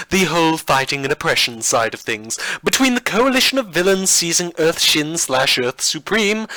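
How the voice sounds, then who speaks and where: A man's voice: a little high, bright, forceful tone, no echo, poor audio. A man playing a sardonic, whimsical radio news host and self-confessed killer in a fiction podcast, with an arch, theatrical, dryly ironic delivery.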